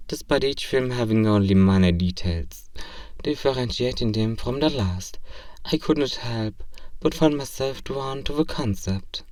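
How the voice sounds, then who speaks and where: A man's voice: mid-range voice, a little mellow, lightly acted. A man playing a polite, curious alien robot in human disguise, naive about romance, speaking formally and literally in a scripted roleplay. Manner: formal, stilted, literal, precise phrasing. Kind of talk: scripted roleplay audio drama. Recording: roomy, slightly distant microphone.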